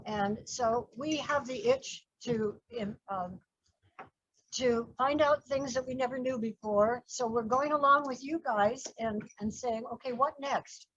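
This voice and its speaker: An older woman teaching a film class, chatty and soft-spoken, introducing her course with small self-mocking laughs. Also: a little high, a little slow, slightly rough, highs cut off.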